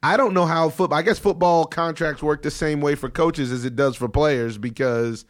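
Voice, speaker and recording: Guy with a head cold hosting a sports podcast, kinda high voice, riffs hard on every point. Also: normal speed. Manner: animated, emphatic riffing. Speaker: podcast host. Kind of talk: casual sports talk. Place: studio in Los Angeles.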